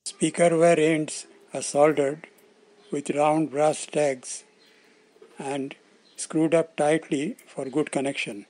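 A male tutorial presenter with a somewhat high-pitched voice reads scripted instructions. He explains things plainly, breaking the narration into short, measured phrases. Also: little pitch movement, darkish tone, rough recording.

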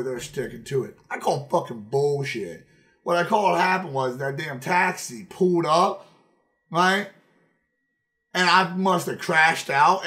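High-pitched man telling stories on stream. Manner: animated, emphatic, repeats phrases for effect. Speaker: game streamer. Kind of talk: casual, profane storytelling on a livestream. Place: indoor room at a streaming setup.

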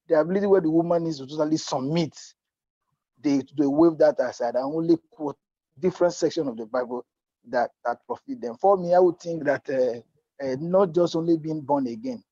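Middle-aged male panelist, soft-toned and raspy, earnest and repetitive, lo-fi video-call sound. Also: on the high side, no room sound. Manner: repeats and rephrases himself, checks for understanding. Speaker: panelist at a church youth congress. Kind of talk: earnest, unscripted panel discussion. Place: indoor room, video call. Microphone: wired earphone mic.

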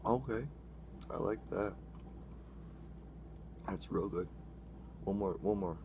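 A man's voice: low voice, takes it slowly, creaky, background noise. A young man casually taste-testing drinks on camera in a small room, rambling and correcting himself as he talks. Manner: rambling, self-correcting, excitable when tasting. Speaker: drink-mixing vlogger. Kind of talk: casual taste-test vlog. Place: small dim room indoors.

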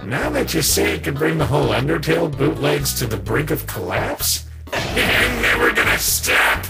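A performer voicing a gleeful, chaotic male skeleton troublemaker in a cartoon comic dub, gravelly and taunting with drawn-out, erratic delivery. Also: mid-range voice, sing-song. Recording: voice over loud music.

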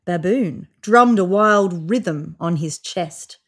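A woman in her thirties reading a children's picture book aloud on a sofa, with voiced sound effects and hushed whispers. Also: fairly low pitch, slow. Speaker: storybook reader. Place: indoors on a sofa.

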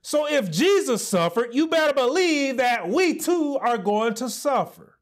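A man in his thirties preaching a sermon as pastor, building to emphatic repeated lines with deliberate pauses, recorded on a lapel mic in a small church room. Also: very high-pitched, big pitch swings. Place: small indoor church office set with pulpit.

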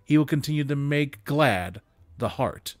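A man reading classic prose aloud expressively, with measured, dramatic emphasis, close to a desk microphone. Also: fairly high pitch, animated, dry recording. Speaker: reader of holiday stories aloud. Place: small indoor room with bookshelves. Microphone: desk condenser microphone, close. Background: music.

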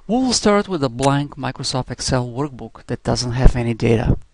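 A man giving step-by-step software instruction in a scripted screencast narration style, as a teacher would. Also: medium pitch, lively intonation, moderate pace, vocal fry, dry recording.

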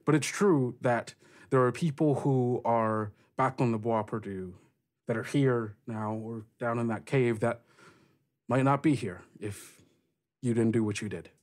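A man voicing a frank, rough-edged female pirate captain in a casual, halting, sweary way for a tabletop game. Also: medium pitch, darkish tone, natural voice. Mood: sincere, encouraging.

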